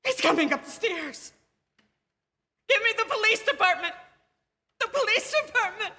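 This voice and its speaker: A woman's voice: very high-pitched, expressive, normal speed, sharp tone, exaggerated voice. An actress playing a terrified, desperate woman in a suspense radio drama, breathless and stammering as she pleads for help. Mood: frantic pleading. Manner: breathless, stammering, repeating words in panic. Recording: noisy live theatre stage recording.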